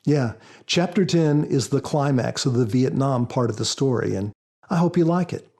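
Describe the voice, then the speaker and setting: A man speaking as an author on a podcast, explaining things in a measured, reflective way. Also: medium pitch, animated, warm tone. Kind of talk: measured explanatory talk with scripture readings.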